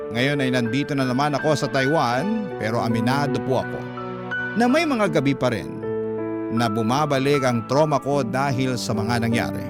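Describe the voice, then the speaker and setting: A man working as a radio host, dramatically reading out a listener's letter as a story. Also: mid-range voice. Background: prominent music.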